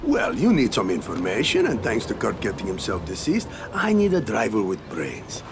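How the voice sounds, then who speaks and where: An actor playing a shady middle-aged hustler in a video game, brash and cynical, with a foul-mouthed dealmaker's patter. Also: male voice, lowish voice, huge pitch swings, normal speed, background noise. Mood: salesman-like, scheming. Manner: foul-mouthed, wheeling-and-dealing talk. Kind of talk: video game cutscene acting.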